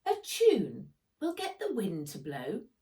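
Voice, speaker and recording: A woman's voice: mid-range voice, huge pitch swings, takes it slowly, dark tone, full character voice. A woman voicing an eager, easily crestfallen young boy in a children's storybook, earnest and emphatic.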